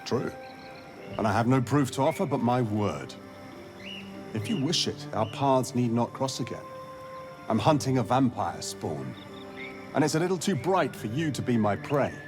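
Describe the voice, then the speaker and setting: An actor playing a courteous, wry wanderer who hunts monsters in a video game, speaking like a measured storyteller. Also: male voice, mid-range voice, sing-song, over music, lightly acted. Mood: earnest. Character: wry, weary wandering male monster hunter, courteous trickster.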